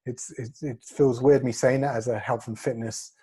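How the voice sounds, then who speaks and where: A man's voice: mid-range voice, fairly even intonation, normal speed, mellow, husky. A man around forty with a London accent, giving casual, modest, self-effacing answers as an interview guest. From North London, England. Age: 30s or 40s. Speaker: interview guest, fitness coach.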